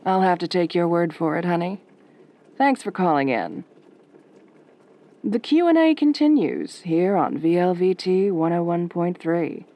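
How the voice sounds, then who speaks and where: Actress as soft velvety female DJ, slightly low voice, wry, flirtatious, sardonic, late-night radio drama. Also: very animated, measured pace. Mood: drily patient. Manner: dry, teasing, sardonic.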